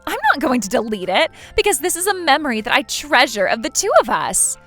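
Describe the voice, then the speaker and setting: A narrator puts on a brazen, clingy woman, the tipsy rival next door in a story drama. Her voice jumps high and loud, pleading and provocative. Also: sing-song, brisk, soft background music, heavily acted. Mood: defiant. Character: brazen, clingy, drunk female neighbor rival.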